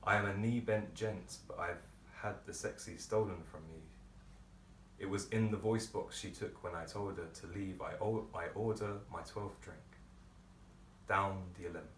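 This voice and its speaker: A deep-voiced man delivers spoken-word poetry in a theatrical, deliberate way. He is heard from some distance in a very roomy space. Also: fairly even intonation, fairly bright tone. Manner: theatrical, deliberate phrasing with pauses. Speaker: poet performer. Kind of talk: dramatic spoken-word poetry monologue performance. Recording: roomy, distant microphone, background noise.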